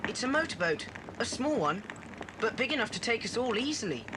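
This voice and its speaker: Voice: mid-range voice, big pitch swings, fairly quick, natural voice. A performer playing a sensible, reassuring older boy in a children's adventure radio play. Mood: alert, reasoning. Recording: noisy old cassette transfer.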